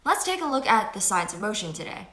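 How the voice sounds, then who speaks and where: A woman's voice: mid-range voice, very animated, fast talker. A fifteen-year-old girl hosting an educational video, explaining physics in an upbeat, chatty, self-deprecating way while seated indoors in front of a fixed camera. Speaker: host. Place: indoor room with window blinds. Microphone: fixed camera at chest-up framing. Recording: echoey small room.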